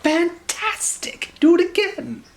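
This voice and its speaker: A man's voice: very high-pitched, expressive, slow pace, vocal fry, pitched above the performer's own voice, heavily acted. A man voicing a bold, clever young princess in a storybook, exclamatory and emphatic. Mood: excited flattery.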